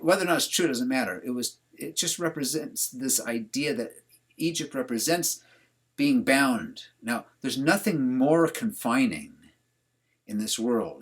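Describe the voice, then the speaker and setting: A man with a slightly husky voice leads a class over a video call. He talks in a loose, conversational way, often asking his students questions. His pitch sits in an ordinary middle range. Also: a bit bright. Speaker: teacher. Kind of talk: online religious class.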